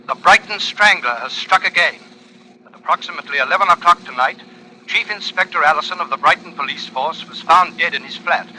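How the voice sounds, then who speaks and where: An actor playing an urgent wartime newsreader, giving a formal, clipped bulletin in a vintage radio drama. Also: male voice, a little high, sharp tone. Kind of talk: 1940s radio news acting. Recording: noisy old-time radio recording.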